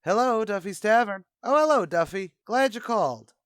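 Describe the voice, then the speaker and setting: Man acting a brash, high-pitched, self-important tavern manager. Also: lively intonation, normal speed, background noise. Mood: salesman-like, enthused. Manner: pompous, malapropism-prone, colloquial. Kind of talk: old-time radio comedy acting.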